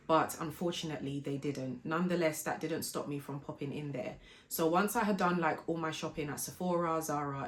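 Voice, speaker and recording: Low-voiced woman, hoarse with flu. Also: slight background noise. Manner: chatty, polite, storytelling. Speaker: fashion and lifestyle vlogger. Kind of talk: casual unboxing vlog chat.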